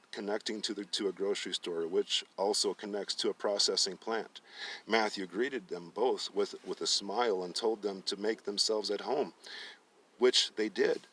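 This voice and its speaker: A man's voice: lowish voice, steady tone, moderate pace, fairly bright tone, far from the mic. A man narrating fiction aloud as a storyteller, often stumbling and rereading phrases. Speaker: storyteller reading a book aloud.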